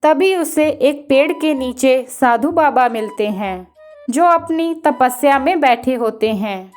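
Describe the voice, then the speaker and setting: A woman's voice: high voice, animated, slow, a little mellow, faint music behind. A woman narrating a scripted children's story.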